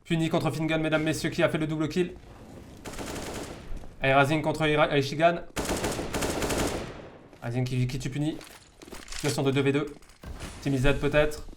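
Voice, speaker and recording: A man's voice: a little high, steady tone, normal speed, pauses often. A man from France giving informal live commentary on a video game match, repeating words as he searches for the next phrase. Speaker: esports caster. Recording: noisy game stream with gunfire. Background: game gunfire and clicks.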